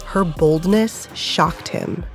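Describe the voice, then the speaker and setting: A woman's voice: on the low side, drawn-out words, faint music behind. A woman narrating a scripted audio drama as a storyteller.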